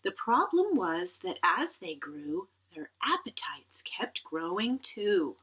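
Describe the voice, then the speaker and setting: A woman reads a children's story aloud with lively swings in pitch and dramatic emphasis. She takes her time over the lines, and her bright voice has a breathy, husky edge. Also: takes it slowly. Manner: animated, dramatic emphasis with playful asides. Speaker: teacher reading a picture book aloud.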